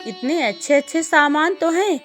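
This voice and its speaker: A woman's voice: sits high, expressive, normal speed, fairly bright tone, faint music behind, pitched above the performer's own voice. A woman voicing a lazy, scheming female crow in a children's cartoon story, scolding and boastful. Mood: smug, gleeful.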